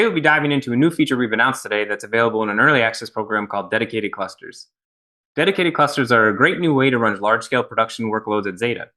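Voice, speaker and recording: A man hosting a product team panel on a video call, talking quickly with loose restarts. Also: medium pitch, bright, forceful tone. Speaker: host, head of product.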